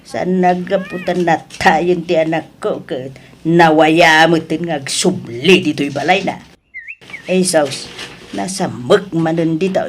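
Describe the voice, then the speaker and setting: An elderly Filipino mother from a radio melodrama. She speaks slowly in an Ilocano accent, stretching her words with stubborn, pleading emotion. Her delivery is emphatic and theatrical. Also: sits low, slight background noise. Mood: weary. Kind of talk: melodramatic radio drama acting.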